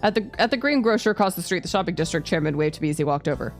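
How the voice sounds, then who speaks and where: A woman's voice: on the low side, lively intonation, very fast, faint music behind. A woman streamer reading visual novel narration aloud, with casual asides. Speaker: VTuber streamer.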